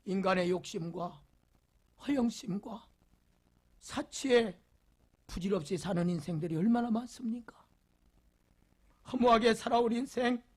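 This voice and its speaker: Husky preaching pastor, loud then whispered. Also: male voice, very high voice. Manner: repeats rhetorical questions, swinging from loud emphasis to near whispers; leads the congregation in call-and-response reading. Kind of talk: Sunday sermon.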